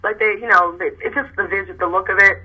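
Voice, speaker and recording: A young woman with a raspy voice, tired from little sleep, chatting as an interview guest and rambling with many restarts. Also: mid-range voice, quick pace, narrow, tinny audio. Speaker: interview guest, model and pageant host. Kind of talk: casual chat.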